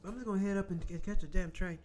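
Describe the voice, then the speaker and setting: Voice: medium pitch, darkish tone, faint music behind. Rambling, salty host from Florida. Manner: rambling, salty, talks in quick asides. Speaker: show host. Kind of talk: casual chat with viewers.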